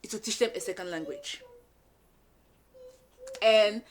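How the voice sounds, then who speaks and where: A young woman from Cameroon, a first-time mom vlogging in a sassy, animated way, whose first language is French. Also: medium pitch, a little slow. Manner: animated, sassy, switches to French with her toddler. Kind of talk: casual talk to camera.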